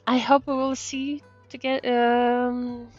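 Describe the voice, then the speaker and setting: A woman streaming a game with casual commentary in non-native English, often searching for words. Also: fairly high pitch. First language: not English. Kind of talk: casual gameplay commentary, reading dialogue aloud. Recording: dull sound with faint music behind. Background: game music.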